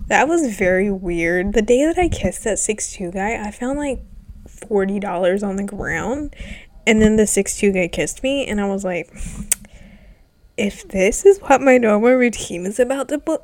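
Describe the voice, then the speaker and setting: A woman's voice: medium pitch, a little mellow. Congested young woman rambling casually. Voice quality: stuffy nasal. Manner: rambling, swearing, lots of ums. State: congested, sick with covid. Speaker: vlogger. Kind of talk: casual personal chat.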